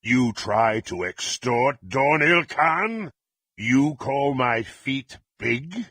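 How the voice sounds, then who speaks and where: Brutal fantasy game warrior, gruff n husky, slow haughty delivery, big swings in pitch, full of contempt. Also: male voice, lively intonation, a bit bright, dry recording, heavily acted. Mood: indignant threatening. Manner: haughty, threatening, archaic formal diction. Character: brutal, menacing, bloodthirsty male warrior, contemptuous and impatient. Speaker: character in a fantasy video game. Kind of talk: theatrical fantasy game voice acting.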